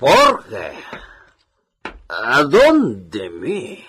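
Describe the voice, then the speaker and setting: An actor playing an irascible, imperious master in a radio drama, gruff and exclaiming, blurting bits of Spanish. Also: male voice, medium pitch, wildly swooping pitch, extremely slow, a bit bright, slight background noise, full character voice.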